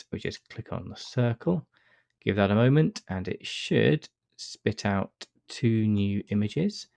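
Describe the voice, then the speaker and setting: A man with a cold and a stuffy nose, calmly talking through a software tutorial step by step with frequent uh fillers. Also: low voice, creaky, dry recording. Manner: relaxed step-by-step explaining with many uh fillers. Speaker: astrophotography tutor. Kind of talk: screen-recorded software tutorial.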